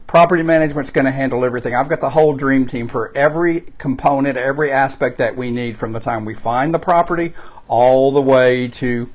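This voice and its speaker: A man with a Texan accent talks straight to the listener in a folksy, conversational sales pitch. His voice sits at an ordinary middle pitch, and he often stops to correct himself or fill pauses with "uh" and "um". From Texas. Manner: folksy and chatty, with frequent fillers and self-corrections. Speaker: real estate investment promoter. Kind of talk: direct-to-camera sales pitch.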